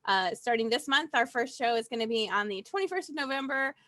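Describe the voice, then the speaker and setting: Bright, high-voiced woman. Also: animated, brisk, no echo. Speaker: burlesque show producer. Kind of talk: casual promotional chat.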